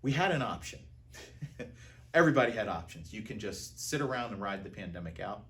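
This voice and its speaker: A man's voice: on the low side, lively intonation, a little fast, fairly bright tone. An American man giving a conversational interview account as a theater art director, telling it like a storyteller.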